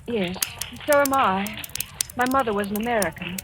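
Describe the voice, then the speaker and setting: A woman's voice: medium pitch, moderate pace, natural voice. An actress playing an anxious young Hungarian-American woman in love in a radio drama, pleading and hesitant. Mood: nervous. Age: young adult. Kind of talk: 1940s radio acting. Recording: noisy old radio recording.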